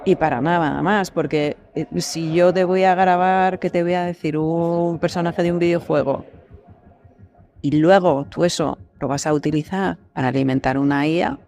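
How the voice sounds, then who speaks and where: A woman speaking as a guest in a casual panel discussion, in a measured, reflective way with hesitant phrasing. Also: fairly low pitch, fairly even intonation, darkish tone. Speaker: voice actor, podcast guest.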